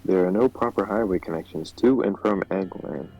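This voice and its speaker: A man's voice: sits low, fairly quick, creaky, faint music behind. A man voicing a formal government minister who briefs his president in a political game, recorded in dull lo-fi audio. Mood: earnest, businesslike. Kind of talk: amateur voiced read of game dialogue.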